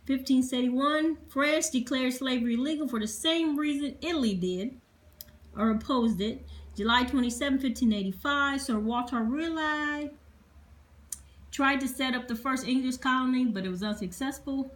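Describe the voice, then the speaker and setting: Woman, fairly high voice, informal history lecture, halting delivery, reading aloud from notes. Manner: halting, spells out difficult names letter by letter. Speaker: amateur history presenter.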